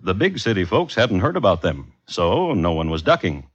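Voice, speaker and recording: A man's voice: low voice, very animated, moderate pace, husky, heavily acted. A man narrating an old-time radio drama as a wry storyteller with dry humour. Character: wry male storyteller of a crime tale. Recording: dull vintage radio recording.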